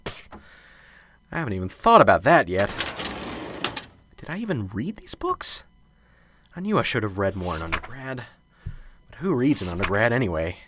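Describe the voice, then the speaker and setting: Animated low male voice, man playing unsure young professor, fretful, rambling, radio drama, noisy recording. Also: natural voice. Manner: fretful, rambling self-talk.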